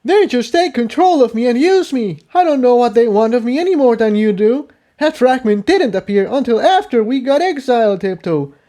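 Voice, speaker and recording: A man's voice: very high-pitched, animated, moderate pace, warm tone, pitched above the performer's own voice. A man voicing a bitter, frightened young male pony exile who speaks in an agitated, accusing way, in a story reading.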